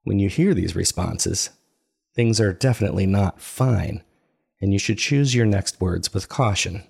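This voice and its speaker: A man playing a troubled podcast host and family man in an audio drama, confiding in a measured, reflective, gravelly voice. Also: on the low side, a little mellow, exaggerated voice. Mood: wry, confiding. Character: troubled podcast host, a married father searching for his parents. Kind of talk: confessional first-person audio drama narration.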